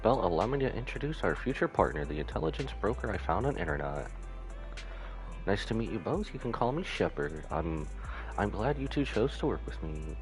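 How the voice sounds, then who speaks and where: A man's voice: on the low side, sing-song, fairly quick, faint music behind. A man reading aloud as a polite, reassuring intelligence broker in a game, courteous and businesslike. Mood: welcoming.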